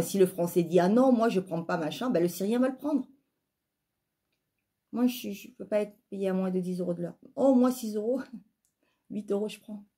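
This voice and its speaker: A woman's voice: medium pitch, mellow, slightly rough. A woman with a southern French accent giving an opinionated, emphatic monologue to viewers, leaning on rhetorical questions and repetition. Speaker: life coach and spiritual vlogger.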